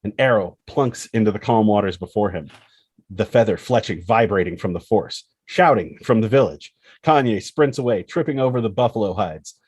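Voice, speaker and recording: A man's voice: fairly low pitch, lively intonation, moderate pace, darkish tone, slightly rough, no room sound. A man reading a screenplay's action lines as dramatic narration, expressively and in short punchy phrases. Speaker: screenplay reader.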